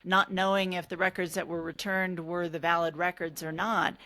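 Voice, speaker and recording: A woman hosts an interview, asking curious, searching questions. Her voice sits a little low for a woman. She works her thoughts out aloud before landing on the question. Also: level tone, normal speed, pushed and bright. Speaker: podcast host. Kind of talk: conversational interview.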